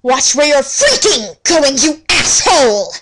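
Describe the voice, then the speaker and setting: A woman acts out a moody gothic teenage girl with a hot temper and a huge sense of herself. The voice is high and overwrought, swooping between hush and screech in a parody of dramatic fanfiction. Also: sing-song, slow, noisy background, full character voice. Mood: furious.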